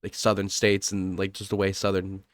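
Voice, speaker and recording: A man's voice: sits low, completely flat delivery, moderate pace, no room sound, clean studio sound. A young man co-hosting a podcast, chatting casually with a stuffy, congested nose and rambling, self-correcting delivery. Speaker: podcast co-host.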